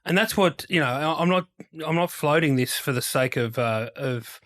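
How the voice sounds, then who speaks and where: Australian man, halting sports podcast co-host. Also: on the high side, a bit bright. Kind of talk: casual sports discussion.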